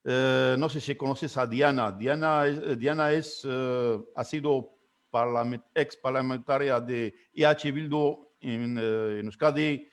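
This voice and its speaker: A man runs an online panel discussion in a voice of ordinary pitch. He speaks haltingly, filling gaps with hesitation sounds and restarting sentences while he introduces the guests. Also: fairly even intonation. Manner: hesitant, many fillers and restarts. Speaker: moderator.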